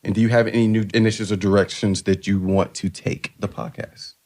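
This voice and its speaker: A man's voice: fairly low pitch, little pitch movement, a little fast, a little mellow. A young man hosting a student podcast who asks questions with plenty of fillers and jokey asides. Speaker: podcast host. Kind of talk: casual podcast interview.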